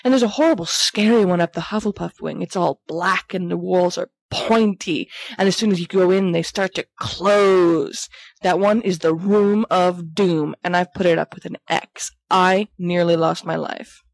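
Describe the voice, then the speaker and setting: A woman's voice: lowish voice, steady tone, moderate pace, gravelly, dry recording. A woman playing a vain, theatrical teenage schoolboy, reading his letters aloud in a chatty, jokey, melodramatic way. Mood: excited, chatty. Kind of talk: reading letters aloud in character, audiobook-like fan recording.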